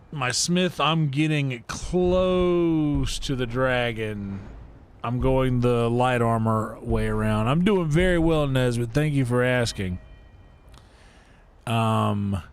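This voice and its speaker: A man streams a game in an unhurried, casual way. His mid-range voice rambles and mutters as he thinks through his choices aloud. There is faint noise behind him. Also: medium pitch. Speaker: livestream host and gamer. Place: indoor livestream setup.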